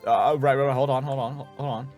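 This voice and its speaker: A man's voice: medium pitch, steady tone, fast talker, darkish tone, soft background music. A man giving casual let's play commentary, muttering asides and repeating words to himself. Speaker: voice actor playing a video game.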